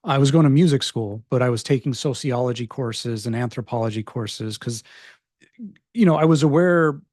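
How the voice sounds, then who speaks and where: A man's voice: medium pitch, moderate pace, darkish tone, no echo. Male host, 40s, from LA; easygoing, affirming; dry, close recording. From Los Angeles. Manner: affirming, conversational with fillers. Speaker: interview host. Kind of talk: casual interview conversation.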